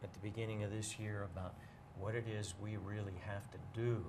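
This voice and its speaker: A man in a casual interview, talking as a bookseller guest in a measured, explanatory way and pausing to choose his words. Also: lowish voice, flat delivery, background noise.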